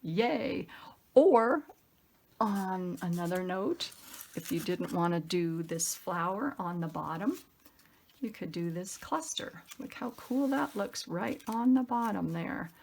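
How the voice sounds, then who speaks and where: Craft tutorial host: woman, unhurried delivery, gravelly fry, effusive and chatty, thinking aloud, some background noise. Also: fairly low pitch, animated, a little mellow. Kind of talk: casual chatty craft demonstration.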